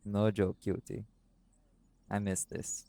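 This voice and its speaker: A man voicing a doting, laid-back seagull shifter boyfriend in an intimate audio roleplay, speaking softly and affectionately. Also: fairly low pitch, little pitch movement, slow pace, gravelly, a little hiss or hum. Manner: soft-spoken, affectionate, creole-inflected speech.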